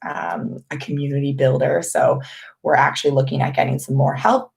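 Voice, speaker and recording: A young woman from Canada with a raspy voice, chatting casually on a live stream as she answers viewer questions, with many ums and restarts. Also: rumbling low, big pitch swings, moderate pace. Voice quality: raspy, gravelly voice. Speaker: vlogger hosting a live Q&A.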